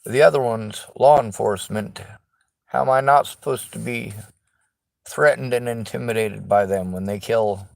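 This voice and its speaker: Tired, gravelly-voiced Southern man. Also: medium pitch, fairly even intonation, darkish tone, no room sound. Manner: slow, tired, drawling delivery. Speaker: vlogger. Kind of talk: rambling monologue to camera.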